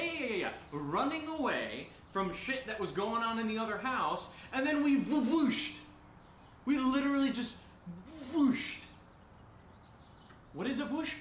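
A high, sharp-voiced young man, played by an actor, sarcastic and fed up, rants with wild swings of pitch. He swears freely and puts on mock cheer, as in an audio drama, in an echoey room. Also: slight background noise, full character voice. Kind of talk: audio drama acting as a livestream rant.

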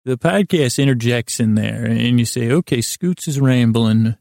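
Male sleep-podcast host, mellow and very creaky, stammering through lighthearted, self-deprecating tangents. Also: medium pitch, no room sound, studio quality. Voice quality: gravelly, creaky. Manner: halting, meandering, self-interrupting, stammering delivery. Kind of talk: rambling bedtime sleep podcast monologue.

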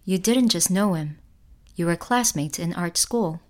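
A woman playing an inquisitive android who poses as a portrait sitter and confronts the artist in an audio drama, in a measured manner. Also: on the low side, lively intonation. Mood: accusing. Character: probing female android posing as portrait sitter.

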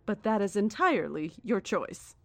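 Give me a condescending female cult leader in a horror audio drama, grandiose and deliberate, with a mid-pitched voice in a dry, close space. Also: very animated, no echo. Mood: calm menace. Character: serene, menacing female cult leader preaching cosmic doom. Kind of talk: horror audio drama acting, live radio address.

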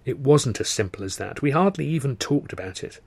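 A man's voice: medium pitch, lively intonation, a little fast, vocal fry, husky, no echo, pitched above the performer's own voice. A man reading as a hurt, guarded young woman. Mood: defensive. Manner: soft, reproachful. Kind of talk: audiobook character voice.

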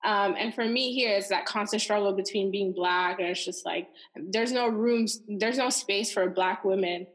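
A woman's voice: mid-range voice, normal speed. A woman from the United States co-hosting a casual podcast chat, asking earnest, probing questions. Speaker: co-host.

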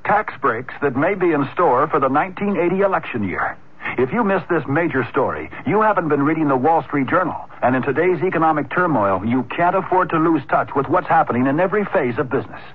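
A man's voice: on the high side, animated, fairly quick, husky. An American man reading a scripted 1970s radio commercial as an announcer. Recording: muffled vintage radio broadcast.

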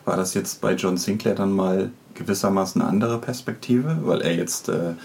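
A man's voice: low voice, normal speed, gravelly, darkish tone. A man hosting a podcast, asking interview questions in a casual, conversational way.

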